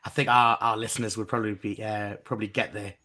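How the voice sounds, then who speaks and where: A man hosting a wrestling podcast, his voice croaky from being unwell, rambling and restarting. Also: lowish voice, little pitch movement, fast, bright, forceful tone. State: croaky voice from recent illness. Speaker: podcast host. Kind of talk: casual podcast chat and news reading.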